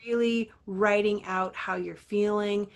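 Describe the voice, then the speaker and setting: A woman's voice: mid-range voice, steady tone, unhurried. Earnest, encouraging woman voice coach. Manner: earnest, encouraging, many fillers. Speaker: guest, voice teacher and success coach. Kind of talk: interview talk.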